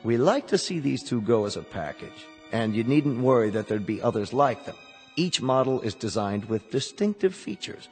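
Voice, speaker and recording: An actor playing a smooth company salesman in a radio drama, with polished, reassuring patter. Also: medium pitch, sing-song, normal speed, a little mellow. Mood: proud, selling. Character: smooth persuasive salesman of replacement android bodies. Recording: hall reverb with music behind.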